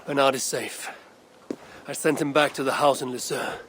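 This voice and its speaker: An actor playing a hardened Viking leader, gravelly, blunt and commanding, in a video game. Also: male voice, mid-range voice, steady tone, moderate pace, background noise, lightly acted. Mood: grim, weary. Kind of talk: dramatic video game acting.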